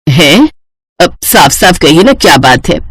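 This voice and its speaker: A woman's voice: low-pitched, big pitch swings, unhurried, breathy edge, exaggerated voice. Woman acting a grumbling middle-aged Indian mother. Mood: doubtful, worried. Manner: grumbling, world-weary. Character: middle-aged Indian mother, exasperated, practical, worrying wife. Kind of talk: animated moral-story voice acting.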